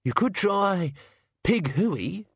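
A man puts on a cheerful, cheeky servant hidden behind sacks, munching as he talks. The voice sits higher than normal and bounces along. Storybook sidekick, always upbeat. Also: very animated, drawn-out words, gravelly, breathy edge, dry recording, heavily acted. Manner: cheerful, mouth full. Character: cheeky male servant, chatty, eating.

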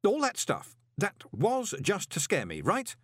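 Guy doing a nervous wry postmaster for an audiobook, higher voice, really animated, full of doubt. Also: measured pace, dry recording, studio quality, full character voice. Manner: questioning, nervous, falsely jovial. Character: uneasy, wry male reluctant new postmaster. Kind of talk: audiobook character voice.

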